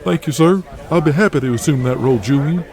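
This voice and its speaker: A man's voice: on the high side, fast, natural voice. A man playing an eager, deferential, money-minded pro wrestler in an audio drama, talking in a formal, obliging way. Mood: smugly obliging. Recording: crowd noise behind the voice. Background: arena crowd.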